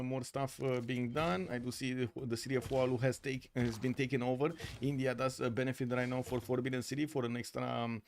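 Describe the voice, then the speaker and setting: A man's voice: mid-range voice, a little hiss or hum. Casual filler-heavy male game caster. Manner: casual, filler-heavy, talks to viewers by name. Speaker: tournament caster and streamer. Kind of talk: live game commentary while reading chat.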